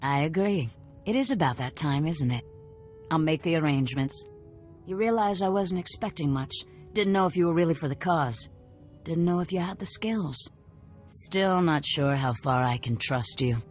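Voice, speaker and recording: An actress playing a shrewd, tough rebel cell leader in a video game, sardonic and businesslike. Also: female voice, low-pitched, animated, normal speed, soft background music. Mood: measured, wry.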